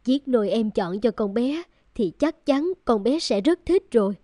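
A woman voicing a tender, caring young woman in a cartoon family drama, gentle and heartfelt. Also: a little high, expressive, moderate pace, no echo, clean studio sound.

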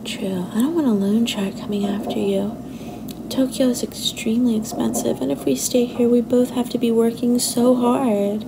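Woman acting young girlfriend, affectionate, a bit possessive, soft whispery ASMR delivery, gravelly creak, noisy ambient recording. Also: a little high, steady tone, moderate pace. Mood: thoughtful, affectionate. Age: young adult. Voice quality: soft, often breathy and whispery.

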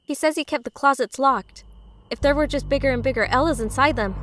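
A woman's voice: high-pitched, fairly quick, background noise, natural voice. A young woman playing a troubled, determined heroine in a comic dub, with an earnest, intense delivery. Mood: puzzled. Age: young adult. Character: troubled, determined young woman searching her father's secrets. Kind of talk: animated comic dub acting.

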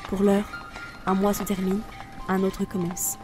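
A weary, brooding female reaper of souls, voiced by a woman, tells her own story in an audio drama. She is solemn and introspective, with music playing softly behind her. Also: mid-range voice, completely flat delivery, a little slow, natural voice. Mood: resolute. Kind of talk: first-person audio drama narration.

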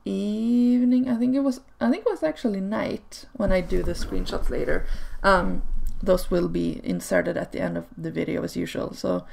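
A woman whose first language is Swedish gives relaxed, chatty commentary over gameplay footage. Her voice carries a strong vocal fry, and her pitch sits in a middle range. She fills her sentences with ums and little laughs. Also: moderate pace, hardly any pauses. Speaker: video creator. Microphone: home desk microphone.

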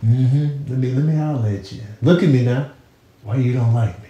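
A man's voice: mid-range voice, normal speed, warm tone, roomy sound. A man doing comic voiceover commentary in a slangy, exclamatory manner.

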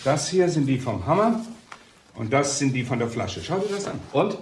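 A man acting as a businesslike police inspector in a radio crime drama. He is brisk and factual, in an echoey room with clear noise. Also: medium pitch, very animated, lightly acted. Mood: brisk, urgent. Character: brisk, matter-of-fact male police inspector. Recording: echoey, noisy radio play recording.

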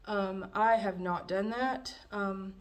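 A woman's voice: medium pitch, takes it slowly. A young woman with a cold, a little nasal, chatting through a wig review at home with lots of fillers, recorded on a camera in front of her. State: has a cold, slightly nasal. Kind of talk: casual product review vlog. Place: dim room at home, indoors.

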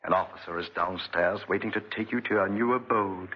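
An actor playing a bitter, vengeful man in a theatrical 1940s radio drama style, with a husky voice. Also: deep voice, a little fast. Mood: gloating, vengeful. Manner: theatrical, gloating then brooding. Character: bitter, vengeful man consumed by revenge and conscience. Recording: dull, muffled 1940s radio recording.

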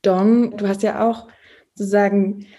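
Moderator: a woman with a mellow, dark voice, asking long probing questions over a video call. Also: mid-range voice, level tone, a little slow. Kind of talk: moderating a panel talk.

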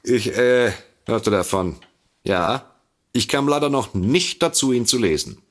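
An actor playing a droll, self-satisfied writer and sidekick in a comedic audio drama, teasing with drawn-out emphasis. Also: male voice, medium pitch, lively intonation, fairly bright tone. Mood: flattered.

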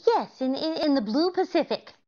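A woman's voice: high voice, animated, moderate pace, a little mellow. A woman acting a scatterbrained, sweetly earnest wife in 1940s-style radio comedy. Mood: sweetly coaxing. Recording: slightly dull video-call audio.

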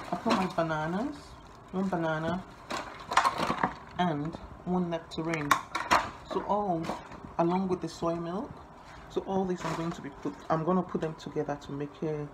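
Woman, 30s, deep-pitched, casual vlogger with halting delivery; handheld phone sound with clear background noise. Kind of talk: casual vlog chat. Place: small indoor rooms at home, kitchen. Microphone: phone at arm's length. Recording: noisy handheld phone recording with room ambience.

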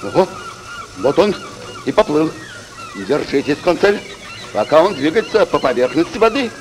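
An actor in a radio play voicing a bold, hot-tempered harpooner with a blunt, forceful manner. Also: male voice, fairly high pitch, animated, normal speed, a little hiss or hum, close to an everyday voice, low-quality recording. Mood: urgent, excited.

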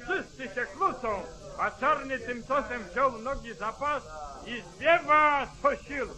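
A man's voice: very high-pitched, slow pace, pushed and bright, exaggerated voice, poor audio. An actor playing an angry, rebellious mob man, scornful and complaining, in an old Polish radio drama. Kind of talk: mid-century Polish radio drama acting. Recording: old 1940s radio recording, crowd.

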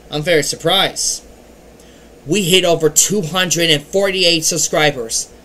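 An earnest young man vlogs into a webcam from a dim bedroom, his voice a bit high and bright with a forceful edge. He talks in halting bursts that swell loud and then drop soft. A faint hum sits under the room. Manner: earnest, halting, with swings in loudness. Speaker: channel host. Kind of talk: casual webcam vlog. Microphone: webcam at desk.

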